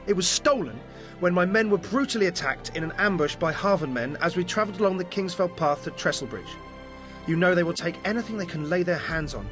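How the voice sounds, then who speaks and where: A man's voice: sits high, lively intonation, fairly quick, music in the background. A man playing a lordly, guarded client in an amateur fantasy audio play, speaking in a formal, self-important way. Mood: haughty, guarded. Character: lordly, guarded male client seeking a stolen heirloom.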